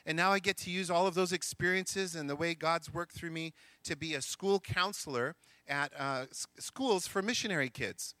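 A man's voice: on the high side, moderate pace, hardly any pauses, pushed and bright, no echo. A middle-aged man, a missionary school counselor, giving an earnest, joking church missions talk. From grew up in Sri Lanka, India and Egypt. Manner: joking, earnest speaker reading scripture aloud.

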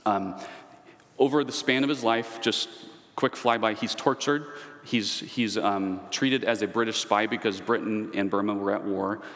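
A man's voice: on the low side, fairly even intonation, unhurried, fairly bright tone. A man giving a conversational history lecture. He wanders into asides and personal anecdotes as he teaches. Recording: long reverb, echoey hall.

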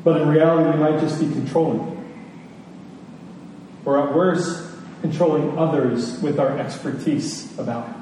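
A man preaching a sermon and teaching scripture, earnest and emphatic, with rhetorical questions, pauses and repetition. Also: a little high, steady tone, warm tone. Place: large reverberant room. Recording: echoey hall, distant mic, faint noise.

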